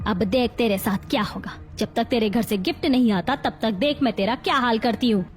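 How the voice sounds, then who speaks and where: A woman's voice: on the high side, brisk, faint music behind, natural voice. A woman playing a cruel, scheming middle-aged mother-in-law who bosses and threatens in a dramatized story. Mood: menacing. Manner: menacing, commanding.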